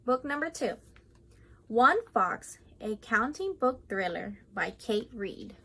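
A woman's voice: on the high side, big pitch swings, takes it slowly, creaky, sharp tone, slight background noise, poor audio. A young adult woman, a children's librarian, hosting and reading aloud a storytime for children.

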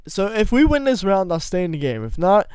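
A young man doing casual gameplay commentary from a small room at home, his voice going hoarse, rambling and joking at himself with sudden loud laughing outbursts. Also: sits high, big pitch swings, a little fast, dry recording. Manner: rambling, self-mocking, bursts into loud laughing exclamations. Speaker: gaming YouTuber.

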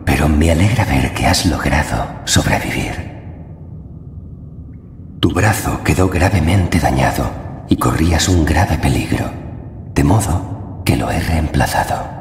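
A man playing a calm, wise, mysterious guardian in a Castilian Spanish fantasy game dub, measured and reassuring. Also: rumbling low, heavily acted. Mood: calm, solemn. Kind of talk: solemn video game dub. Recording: echoing long reverb, faint background noise.

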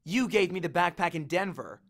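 A man reads as a young boy hero in an audiobook, earnest and defiant. He speaks bluntly in a fairly high, bright voice. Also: expressive, moderate pace, exaggerated voice. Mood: accusing. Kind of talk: audiobook character voice.

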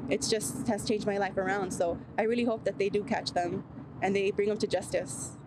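A woman interviewed outdoors, speaking haltingly with many fillers into a reporter's handheld mic. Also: mid-range voice, fairly even intonation. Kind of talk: news interview. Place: outdoors at a gas station. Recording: loud outdoor traffic noise.

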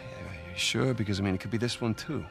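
An actor playing a keen, rough-edged adventurer around forty, talking casually with an American accent. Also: male voice, on the low side, fast talker, soft background music. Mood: pragmatic. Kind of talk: naturalistic video game acting.

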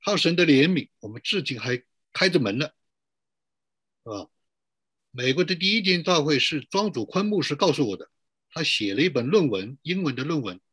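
A man's voice: fairly high pitch, fairly bright tone, slightly rough, dry recording. A middle-aged man pastor giving a sermon to an online church, posing rhetorical questions and drawing out emphatic phrases.

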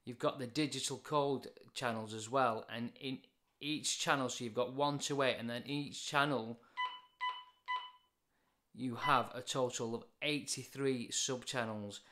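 A man in his forties with a British accent talks through a product demonstration. His voice is mid-pitched. He explains each point informally and matter-of-factly, like a hobby reviewer. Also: steady tone, a little slow, bright, forceful tone. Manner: plain, matter-of-fact explaining.

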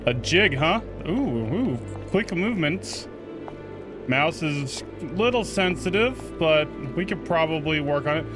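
A man giving casual first-look game commentary, excitable and sweary, reacting aloud and chatting to his viewers. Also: a little high, measured pace, music in the background. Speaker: gaming video host.

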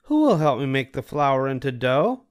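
A man voicing an industrious, determined little mother hen in a storybook, speaking earnestly and deliberately. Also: mid-range voice, very animated, clear, resonant tone, no echo, clean studio sound, voice pushed up, natural voice.

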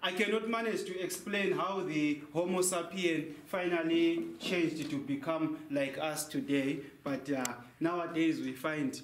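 A man's voice: fairly high pitch, steady tone, a little slow, few pauses. A young man working as a tour guide, giving a guided cave tour talk. He explains things by asking a question and then answering it himself. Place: large cave, indoors. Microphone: handheld mic, camera a few meters away. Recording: echoey cave, faint noise.

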